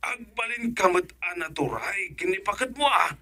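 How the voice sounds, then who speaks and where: A man's voice: sits high, expressive, normal speed, bright, forceful tone, no room sound, full character voice. A man acting a money-obsessed, scheming village husband in an Ilocano radio comedy drama, boastful and cajoling, with an Ilocano Filipino accent. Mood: coaxing.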